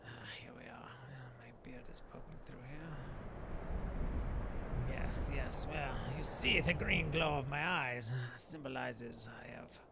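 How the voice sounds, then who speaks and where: A man playing a genteel, self-satisfied dark elf shaman in a game roleplay, musing haltingly in old-fashioned, formal words over a noisy background. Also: medium pitch, lightly acted. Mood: reminiscing, wry. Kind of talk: voiced roleplay narration of gameplay.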